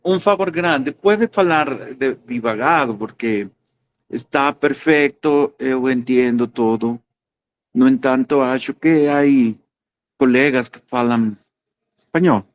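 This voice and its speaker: Slow-spoken man, halting. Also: mid-range voice. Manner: slow, hesitant, halting portunhol. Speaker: course participant.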